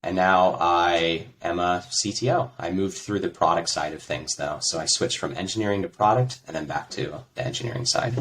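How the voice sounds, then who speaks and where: Deep-voiced American man explaining calmly. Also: moderate pace, hardly any pauses, slight background noise. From United States. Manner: relaxed, explanatory, with fillers. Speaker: interview guest, tech executive. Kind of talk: conversational interview.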